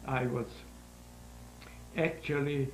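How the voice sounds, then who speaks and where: A man in his nineties with a Hungarian accent, giving halting, deliberate interview answers about his own life in non-native English. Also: medium pitch, steady tone. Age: 90s. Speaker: interview guest, sculptor. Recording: roomy echo, faint background noise.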